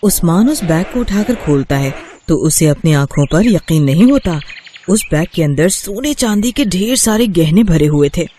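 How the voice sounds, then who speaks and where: A man's voice: high-pitched, lively intonation, moderate pace, warm tone, a little hiss or hum. A man narrating a moral bedtime story as scripted storybook narration.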